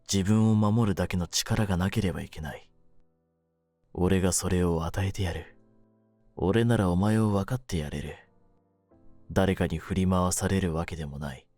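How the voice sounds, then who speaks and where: A man playing a jaded, domineering yet secretly tender young mafia kidnapper in an audio drama, speaking low in a rough, cool, deliberate way. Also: darkish tone, no echo, soft background music. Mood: tender, persuasive. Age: young adult. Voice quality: low-pitched. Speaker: voice actor in a situation voice drama. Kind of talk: Japanese situation-voice audio drama addressed to the listener.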